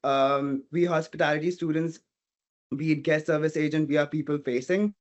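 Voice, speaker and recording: A man's voice: fairly high pitch, steady tone, normal speed. Earnest man. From India. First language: Portuguese. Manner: earnest, thinking aloud with frequent "you know". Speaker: interview guest, hospitality student and front desk agent. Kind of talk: casual interview talk.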